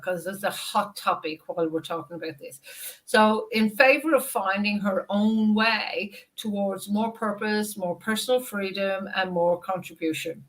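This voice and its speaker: An Irish woman hosting a business interview, effusive and upbeat as she talks to her audience and guest. Her voice sits at a middle pitch. It comes through a video call. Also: fairly bright tone, low-quality recording. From Ireland. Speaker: host. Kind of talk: interview host introduction.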